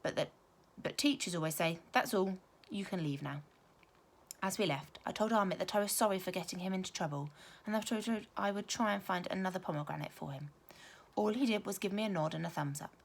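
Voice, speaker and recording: A woman teacher reading a children's story aloud, with expressive, emphatic storytelling. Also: medium pitch, fast talker, creaky.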